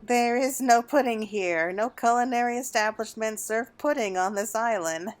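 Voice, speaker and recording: A woman voicing a composed, courteous, scholarly young game character with formal, measured phrasing. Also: a little high, natural voice. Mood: polite, apologetic.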